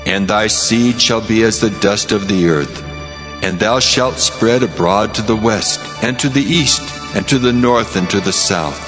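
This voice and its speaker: A man's voice: on the low side, unhurried, close to an everyday voice. A man playing God as a solemn, stately, commanding voice in a dramatized scripture reading. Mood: solemn, promising. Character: God, solemn commanding divine voice making promises. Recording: long cavernous reverb. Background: music.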